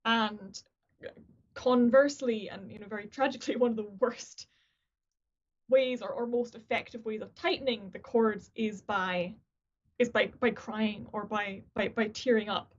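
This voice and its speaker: A woman with a fairly high voice chats on a video call, explaining vocal technique. She often restarts her sentences and pokes fun at herself. The audio is dull and lo-fi. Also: fairly even intonation, measured pace. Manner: restarts sentences, chatty and self-deprecating. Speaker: guest, opera singer and voice teacher. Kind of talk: casual video-call conversation.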